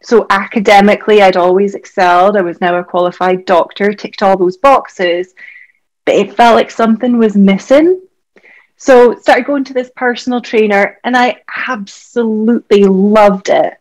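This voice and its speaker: A woman's voice: medium pitch, fairly even intonation, moderate pace, poor audio. A Scottish woman in her thirties, a doctor and health coach, chatting candidly as a podcast guest with lots of "like" fillers. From Scotland. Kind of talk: casual podcast conversation.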